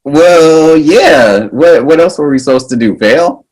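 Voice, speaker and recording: A man playing a cocky teenage boy, slow and animated. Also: a little high. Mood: scheming. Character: Junior, cocky mischievous smirking teenage boy. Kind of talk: table read of a pilot script.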